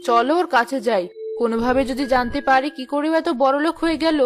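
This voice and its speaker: A woman's voice: high-pitched, fast talker, natural voice. A woman voicing a greedy, scolding middle-aged aunt who talks sharply and accusingly, in a cartoon. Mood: scheming. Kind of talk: cartoon voice acting.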